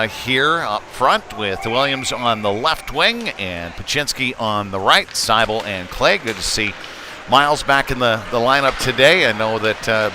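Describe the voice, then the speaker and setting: A man calling live hockey play-by-play in a halting, conversational way, with frequent hesitations. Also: mid-range voice. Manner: halting, filler-heavy, conversational delivery. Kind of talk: live amateur sports play-by-play commentary. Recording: clear background noise, live venue ambience.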